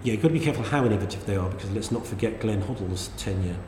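A man's voice: rapid-fire, slight background noise. A middle-aged man, co-hosting a casual chat and telling anecdotes. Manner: chatty anecdotal storyteller, lively swings in pitch. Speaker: podcast co-host. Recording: echoey room with long reverb.

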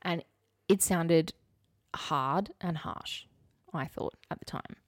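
Young woman, lowish voice, casual podcast co-host, candid, wry, emphatic, very dry sound. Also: takes it slowly, no room sound. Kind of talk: casual conversational chat and storytelling.

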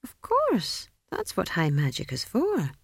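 A woman voicing a pompous, genially condescending old male wizard in an audiobook story, with airy, unhurried, patronising speech. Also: fairly low pitch, wildly swooping pitch, creaky, breathy edge, dry recording, studio quality, full character voice. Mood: benevolent, smug.

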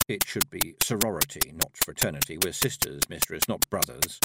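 A man voicing a calm, self-possessed young witch girl in an audiobook, quiet and measured. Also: sits low, very noisy, voice pushed down, natural voice. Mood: composed.

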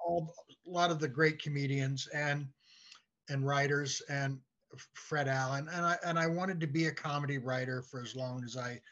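An older man chatting as an interview guest, halting and repeating words as he searches for his phrasing. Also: mid-range voice, steady tone, a bit bright. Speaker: guest, playwright and comedy writer. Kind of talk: casual interview chat. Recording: dull, lo-fi voice track.

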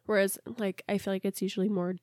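A young woman with a stuffy, congested voice, co-hosting a podcast in relaxed, rambling chat. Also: medium pitch, fast, dry recording, studio quality. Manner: chatty, relaxed, rambling with fillers. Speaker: podcast co-host, esthetician. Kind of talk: casual chat.

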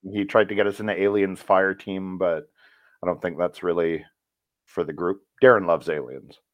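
A man who works as a voice actor, talking casually in an interview and telling stories in a relaxed, rambling way with fillers. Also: low-pitched, normal speed, no echo. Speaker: voice actor guest.

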